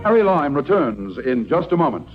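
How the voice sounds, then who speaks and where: A man's voice: fairly high pitch, very animated, normal speed. A man announcing a radio drama in a 1950s broadcast style. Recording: dull old radio recording, loud music behind.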